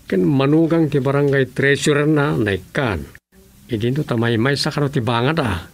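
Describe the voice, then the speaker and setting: A man's voice: mid-range voice, moderate pace, creaky, faint music behind, lightly acted. An actor with an Ilocano Filipino accent playing a grumbling village man in a radio comedy drama. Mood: grumbling, explaining.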